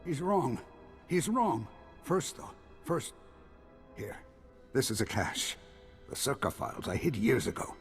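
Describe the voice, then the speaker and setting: An actor playing an anxious, proud old hermit farmer and former guard in a video game, hesitant and halting. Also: male voice, medium pitch, sing-song. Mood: urgent. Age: older. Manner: hesitant, halting, repeating himself. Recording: long hall reverb, music behind.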